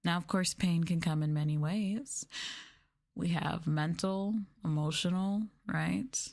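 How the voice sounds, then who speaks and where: Slow, low-voiced woman with teasing condescension. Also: vocal fry, a little mellow. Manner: teasing, condescending, mock-sadistic dominance. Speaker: livestreamer hosting an ASMR roleplay. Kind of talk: ASMR roleplay livestream, chatting with viewers.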